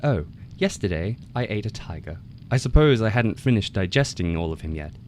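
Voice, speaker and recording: A man's voice: on the low side, animated, fairly quick, a little mellow, lightly acted. A man voicing a small, boastful male frog king in a folktale, smug and theatrical. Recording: noticeable background noise.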